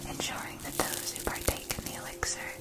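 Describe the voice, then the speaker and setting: A woman whispering softly and breathily in a scripted ASMR roleplay as a gentle magic shopkeeper, enunciating each phrase with care. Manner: slow, deliberate, careful enunciation.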